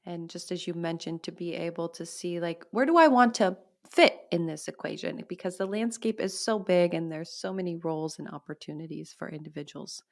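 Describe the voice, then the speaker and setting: Woman, podcast host, low-pitched, encouraging, interview questions. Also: fairly quick, hardly any pauses. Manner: friendly, encouraging.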